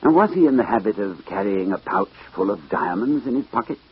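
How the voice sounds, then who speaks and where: Gentleman sleuth, vintage radio mystery. Troubled, formal, hesitant, very varied intonation, muffled old broadcast. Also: lowish voice, big pitch swings, fairly quick, breathy edge, full character voice. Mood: polite, probing. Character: troubled gentleman detective narrating his case. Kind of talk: 1940s-50s radio acting, first-person narration. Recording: dull old radio recording with music.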